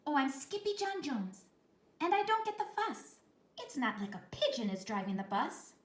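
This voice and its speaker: Woman putting on an eager young tomcat; high, bouncy, echoey kitchen. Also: sing-song, normal speed. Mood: excited. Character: excitable young boy cat who thinks he's a chihuahua.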